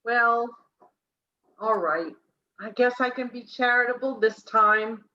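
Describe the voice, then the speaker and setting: Lady doing a detective's girlfriend in an old radio noir, sharp highish voice, campy, bit muffled. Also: slow, a little hiss or hum. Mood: relenting. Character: detective's impatient but easily won-over girlfriend.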